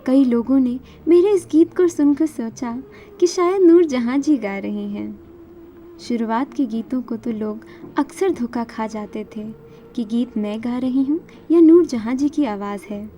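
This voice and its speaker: A woman's voice: fairly high pitch, a little slow, warm tone, a little hiss or hum. A woman whose native language is Marathi, narrating her own life story from a script as a singer.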